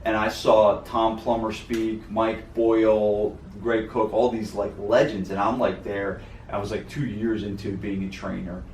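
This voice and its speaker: A man's voice: on the low side, flat delivery, a little slow, darkish tone. A man, a gym owner co-hosting a podcast, talking earnestly and rambling with many "like"s and "you know"s. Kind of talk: casual podcast talk. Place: podcast studio. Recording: roomy, mic at a distance, faint noise.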